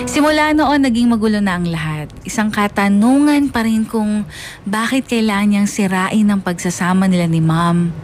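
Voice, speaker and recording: A woman's voice: fairly low pitch, darkish tone, slight background noise, natural voice. A woman voicing a grown son reflectively narrating a life story in a scripted radio drama, sentimental in manner. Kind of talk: scripted first-person narration.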